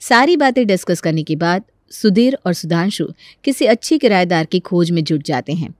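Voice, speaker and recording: A woman's voice: lowish voice, animated, moderate pace, a little mellow, no room sound, studio quality. A woman reading scripted storytelling narration as the narrator of a tale.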